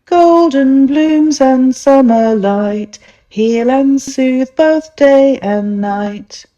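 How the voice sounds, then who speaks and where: A woman voicing a gentle, scatty, dramatic witch in a children's storybook, chatty and full of exclamation. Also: high voice, extremely slow, highs cut off, voice pushed up. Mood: chanting spell.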